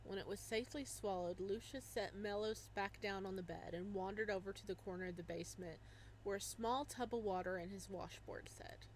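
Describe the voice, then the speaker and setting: A woman streamer reading a visual novel aloud in an expressive way. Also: mid-range voice, brisk, slight background noise, poor audio. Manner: expressive, shrieks and laughs at surprises. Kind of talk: dramatic reading of game text with reactions.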